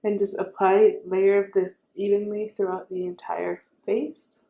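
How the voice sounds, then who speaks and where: Young female beauty vlogger, raspy, warm low-brightness tone, casual chatty tutorial delivery. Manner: chatty, self-correcting, friendly advice.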